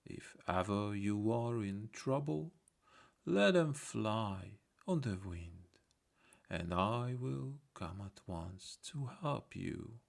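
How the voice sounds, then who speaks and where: A man plays a frail old wonder-worker from a folktale, grateful and earnest. He speaks slowly in a gravelly, creaking voice, giving careful instructions. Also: fairly low pitch, lively intonation, full character voice. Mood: solemn promise. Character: weak, grateful old man with magical powers.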